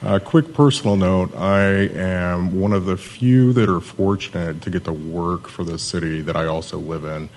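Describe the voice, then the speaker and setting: A man from Southern California with a Californian American accent, giving a matter-of-fact, personable live presentation as a city development manager in a monotone voice. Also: low-pitched, normal speed, hardly any pauses, slight background noise.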